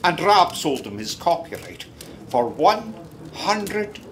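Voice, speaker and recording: Radio play narrator: gossipy Scotsman, theatrical, hugely varied intonation, slow delivery. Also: on the high side, big pitch swings, fairly bright tone, slight background noise, exaggerated voice. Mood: gossipy, admiring. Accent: Scottish. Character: gossipy, droll Scottish local man telling a tale. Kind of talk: radio drama storytelling.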